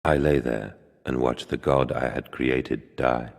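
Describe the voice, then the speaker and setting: A male actor playing a tormented, guilt-ridden father in a video game, gravelly and husky, with a heavy, mournful, deliberate delivery. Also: a bass voice, fairly even intonation, full character voice. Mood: somber. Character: guilt-ridden grieving father, tormented creator, grimly resolute. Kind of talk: dramatic video game acting. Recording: long hall reverb.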